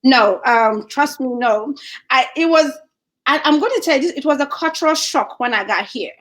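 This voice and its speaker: A young woman from Nigeria with a Nigerian accent, chatting as a guest in an animated way and laughing often. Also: high-pitched, moderate pace. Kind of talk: casual chat.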